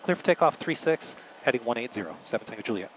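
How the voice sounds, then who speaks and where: A man's voice: mid-range voice, animated, fast talker, muffled recording, very noisy. A man speaking as a pilot in a small aircraft over a headset, mixing casual explanation with clipped radio calls. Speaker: pilot and video host. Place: small aircraft cockpit in flight. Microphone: aviation headset.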